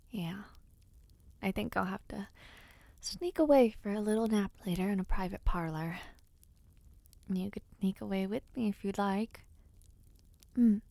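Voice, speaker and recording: A woman playing an affectionate young noblewoman in an intimate audio roleplay, speaking softly and breathily to the listener with hesitant sighs and murmurs. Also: mid-range voice, gravelly, no echo. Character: affectionate young noblewoman, playful but nervous before her debut.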